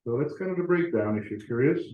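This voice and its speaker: A man's voice: medium pitch, animated, quick pace, a little hiss or hum. Man presenting in an echoey room. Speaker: author and guest speaker presenting to a club. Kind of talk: informal presentation to an audience. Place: meeting room, talk to a live audience. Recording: echoey room, slightly muffled audio.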